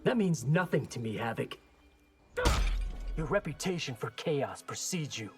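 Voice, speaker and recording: A man's voice: mid-range voice, lively intonation, unhurried, over music. An actor playing an earnest, determined young male ninja in a video game. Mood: defiant.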